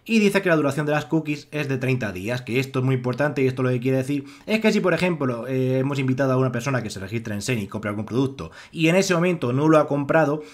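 Fast-talking rambling man with a Spanish accent. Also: medium pitch, animated. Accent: Spanish from Spain. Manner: rambling, heavy use of filler "pues" and restarts. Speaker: online money-making tutorial host. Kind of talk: screen-recorded walkthrough narration.

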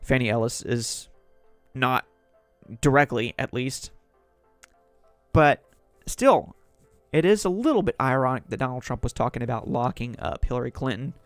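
Solo podcast host, man, going hoarse, rambles through news articles from a desk mic, dry close sound with faint music under. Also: mid-range voice, lively intonation, normal speed, no echo. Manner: rambling, conversational, reads articles aloud. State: sore throat, losing voice. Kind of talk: solo political commentary.

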